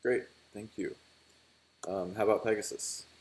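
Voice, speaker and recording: A man moderates a video call, thanking each team and calling on the next. His voice is low. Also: steady tone, a little slow, a little mellow, slight background noise.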